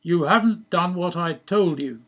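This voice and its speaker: A man's voice: high voice, unhurried, fairly bright tone. A man putting on a bossy head-office boss in a story, with a posh British accent, pompous and hectoring. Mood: pompous, irate.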